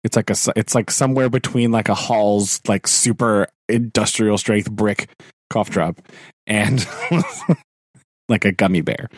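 A man co-hosting a podcast in casual chat, rambling with many fillers, laughing often and clearing his throat now and then. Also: on the low side, no room sound, clean studio sound. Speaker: podcast co-host, teacher.

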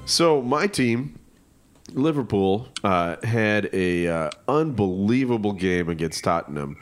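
Low-voiced guy hosting a soccer podcast, jokey and relaxed, lots of uhs. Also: takes it slowly, faint music behind. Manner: laid-back, joking, hesitant with fillers. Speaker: podcast co-host. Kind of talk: casual sports chat.

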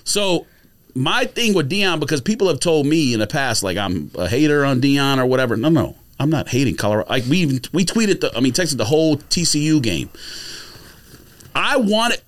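A man's voice: fairly high pitch, sing-song, moderate pace. A man in a casual, opinionated sports podcast debate, speaking emphatically and argumentatively with plenty of slang.

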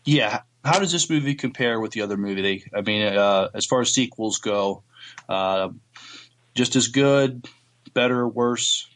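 A man's voice: medium pitch, unhurried, fairly bright tone. A man chatting casually as a co-host on a podcast. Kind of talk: casual chat.

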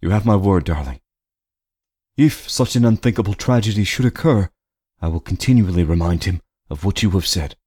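A gentle young husband with a fairly low, soft voice, as a narrator voices him. He speaks tenderly and steadily, in an audiobook reading. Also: animated, normal speed, slightly rough. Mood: reassuring. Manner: tender, reassuring.

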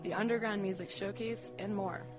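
A woman reads a scripted promo over clearly audible music. Her pitch is in the middle range for a woman. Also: steady tone, moderate pace. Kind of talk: scripted sponsor ad read. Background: music behind the voice.

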